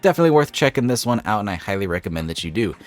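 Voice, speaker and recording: A man's voice: lowish voice, big pitch swings, rapid-fire, soft background music. A young man with a cold and a slightly stuffy voice, hosting a gaming channel and walking through a game mod step by step in an upbeat, casual voice-over.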